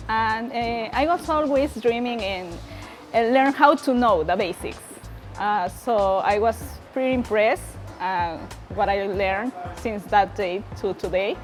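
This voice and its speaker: A woman from Peru speaks English with a Spanish accent, her first language being Spanish. She pauses often with "uh" and "um" and builds her sentences in a non-native way. Music plays clearly beneath her voice. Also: on the high side. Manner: hesitant, many fillers, non-native phrasing. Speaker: trades program student. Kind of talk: testimonial interview. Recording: music playing underneath.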